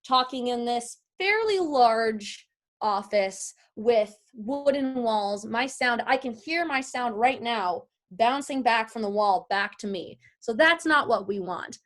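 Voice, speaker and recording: A woman's voice: on the high side, animated, slow, a bit bright. A woman giving a chatty, encouraging, informal lesson as a voice actor teaching an online class over a video call from a home office. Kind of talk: casual instructional talk. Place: at home, large office with wooden walls. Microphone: computer video call.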